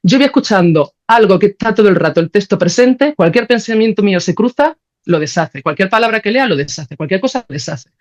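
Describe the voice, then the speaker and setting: A woman with a Castilian accent talks quickly in a casual, animated way. She explains her ideas colloquially, checking that the listener follows. The recording sounds slightly muffled. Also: mid-range voice. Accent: Spain. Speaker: podcast guest, sound artist.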